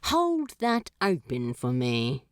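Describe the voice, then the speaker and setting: A woman's voice: very deep voice, slow pace, clear, resonant tone, dry recording, studio quality, pitched below the performer's own voice, heavily acted. A woman voicing a snarky, swearing male demon who is brash and teasing in an audiobook reading. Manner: brash, excited, emphatic.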